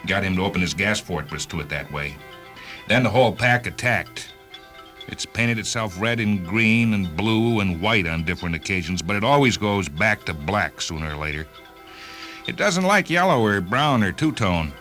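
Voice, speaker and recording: A man acting a driven, vengeful hunter in a radio drama, with an intense, emphatic and sometimes halting delivery. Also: low-pitched, lively intonation, sharp tone, soft background music. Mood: grim, intent. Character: driven, vengeful male hunter of rogue cars.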